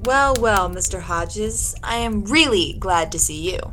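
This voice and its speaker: A woman's voice: medium pitch, expressive, measured pace, pushed and bright. Woman acting as confident lady detective, old radio mystery style, pointed questions, music behind. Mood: confident, pressing. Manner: pointed, probing, theatrical. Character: sharp, confident female detective interrogating suspects.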